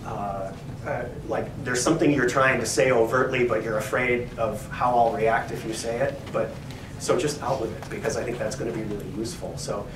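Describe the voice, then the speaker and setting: A man's voice: medium pitch, steady tone, a little fast. Hesitant man, distant and echoey. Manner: hesitant, many fillers and restarts. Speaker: professor and co-editor. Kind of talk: library talk, answering questions. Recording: echoey room, distant mic, noisy.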